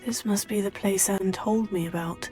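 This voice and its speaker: An actress playing an earnest, composed teenage witch heroine in a video game, measured and polite. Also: mid-range voice, steady tone, music in the background, close to an everyday voice. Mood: musing. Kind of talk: video game character acting.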